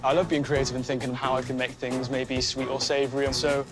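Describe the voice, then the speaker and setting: Fast-talking earnest male contestant. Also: medium pitch, flat delivery. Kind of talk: contestant interview. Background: background music.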